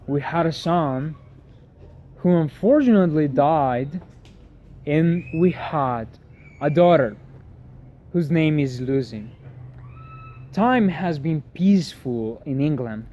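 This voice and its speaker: Man playing an earnest, higher-voiced French gentleman in exile. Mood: calm, reflective. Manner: formal, deliberate storytelling. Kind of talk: scripted dramatic monologue of a classic novel. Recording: faint background noise, amateur recording.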